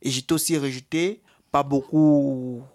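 A man's voice: medium pitch, fairly even intonation, a little slow, a little mellow. Hesitant man with a Cameroonian accent. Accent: Cameroonian French. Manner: hesitant, many fillers and restarts. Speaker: interviewee, asylum seeker. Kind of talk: personal testimony in an interview.

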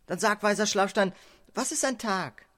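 A woman's voice: mid-range voice, moderate pace, fairly bright tone, lightly acted. A woman playing a naive, curious little male dwarf in a children's radio play, eager and prone to stammer in wonder. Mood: puzzled.

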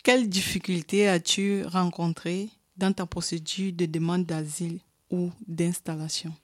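Low-voiced woman asking formal questions. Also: level tone, measured pace, no room sound, studio quality. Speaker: interviewer. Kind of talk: reading set interview questions.

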